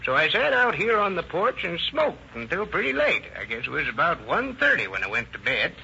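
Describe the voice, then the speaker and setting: An actor playing a folksy, talkative neighbor giving a rambling, ungrammatical witness account in an old radio drama. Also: male voice, fairly high pitch, big pitch swings, brisk, creaky, sharp tone, exaggerated voice. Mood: folksy, confiding. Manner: rustic, ungrammatical, rambling storytelling. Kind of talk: 1940s radio acting. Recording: old lo-fi radio recording with hiss.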